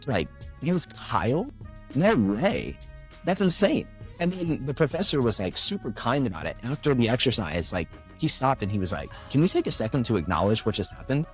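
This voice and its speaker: A young man, a voiceover artist, chatting in an interview and telling animated stories, acting out the voices of people he quotes. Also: medium pitch, moderate pace, soft background music. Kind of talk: casual interview chat.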